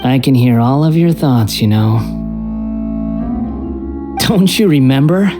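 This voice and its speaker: A haughty young villain delivers taunts slowly and deliberately, as if already a god. His delivery is theatrical and condescending, in game monologue style, over clear background music. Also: medium pitch, lively intonation. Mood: smug. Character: arrogant, mocking young male villain who thinks himself a god.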